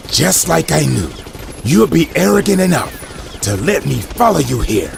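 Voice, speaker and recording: Very gravelly, taunting villain played by a man. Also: on the high side, a little hiss or hum, exaggerated voice. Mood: gloating. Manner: taunting, theatrical, condescending. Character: smug, menacing male crime-lord villain, taunting and calculating.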